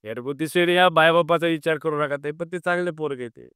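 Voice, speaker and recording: Smooth-voiced, placating man on phone. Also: fairly high pitch, brisk, fairly bright tone, dry recording, studio quality. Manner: placating, noncommittal, repetitive. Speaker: mediator on a phone call. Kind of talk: informal private phone conversation. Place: phone call. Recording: recorded phone call.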